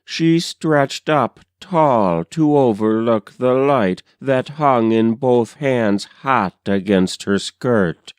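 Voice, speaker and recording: A man narrates a poem at a very unhurried pace, phrasing it with care. His voice is smooth and clear at a medium pitch, recorded dry with no room sound. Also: expressive, no room sound. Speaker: poetry reader. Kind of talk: measured literary poem narration.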